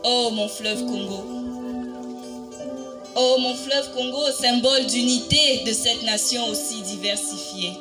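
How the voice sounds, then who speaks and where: A woman reciting a poem in a dramatic spoken-word style, solemn and declamatory, with deliberate pauses. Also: a little high. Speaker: poetry reciter. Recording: music bed under the voice. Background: music.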